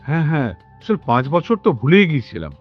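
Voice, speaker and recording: An awkward, impatient grown son from a radio play. He talks quickly in clipped phrases with a lively, mid-pitched voice. The audio is a bit dull, with music behind. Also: no room sound. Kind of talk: Bengali radio drama acting.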